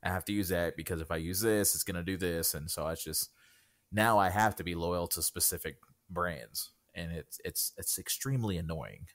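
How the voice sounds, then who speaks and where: A man's voice: deep voice, moderate pace, a bit bright. A man in his thirties co-hosting a casual podcast, telling stories in a wry way. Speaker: podcast co-host. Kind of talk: casual chat.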